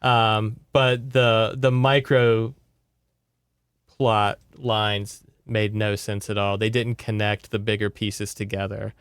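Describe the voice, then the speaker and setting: Clear-voiced man in casual conversation. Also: on the low side, level tone, slow pace, no echo, clean studio sound. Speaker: podcast co-host. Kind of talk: casual chat, reviewing a film.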